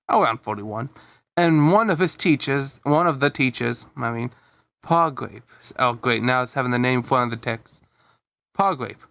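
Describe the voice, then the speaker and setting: A man's voice: medium pitch, fairly bright tone, no room sound. A man reading a story aloud casually as a fan fiction narrator, dropping in his own offhand asides.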